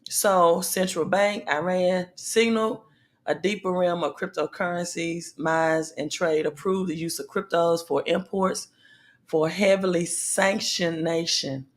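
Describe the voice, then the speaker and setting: A woman with a slightly raspy, lowish voice hosts a casual livestream, speaking directly to her viewers. She talks very slowly, pausing often and leaning on fillers as she works through the news. Her delivery is halting and conversational rather than scripted. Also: steady tone. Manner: slow, halting delivery with many pauses and fillers. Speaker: livestream host.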